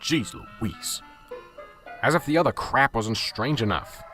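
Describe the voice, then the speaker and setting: A man's voice: medium pitch, animated, takes it slowly, bright, forceful tone, faint music behind. A man playing a fed-up, sarcastic police sergeant. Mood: impatient. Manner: curt, exasperated, sarcastic. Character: stressed, blunt male police sergeant, short-tempered. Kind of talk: amateur radio drama.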